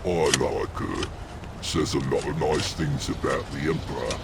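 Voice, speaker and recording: A man playing a gruff, simple, devout ogre soldier with a gravelly voice in a science-fiction audio drama. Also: very deep voice, steady tone, unhurried, very noisy, full character voice. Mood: approving.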